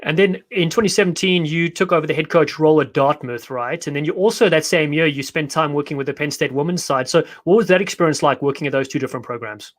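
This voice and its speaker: A man's voice: a little high, fast, few pauses. Upbeat chatty male show host. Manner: upbeat, chatty, friendly banter. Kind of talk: casual live show interview.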